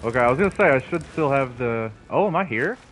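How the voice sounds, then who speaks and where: A man giving casual, slangy gameplay commentary, excitable and often talking to himself. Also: medium pitch, quick pace. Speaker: video game streamer.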